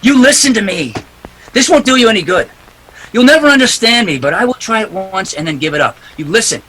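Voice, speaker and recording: A man's voice: high voice, lively intonation, a little fast, bright, forceful tone, a little hiss or hum, rough recording. A man acting a hard-boiled, unyielding private detective in 1940s radio drama style, tough and emphatic. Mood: hard, insistent.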